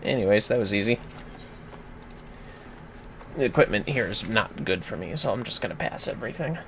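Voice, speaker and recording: A man's voice: on the low side, expressive, moderate pace, vocal fry, a bit bright, slight background noise. A man livestreaming gameplay, casually explaining tactics step by step with informal, sweary commentary over music. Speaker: livestreamer, gaming host. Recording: music playing behind the voice.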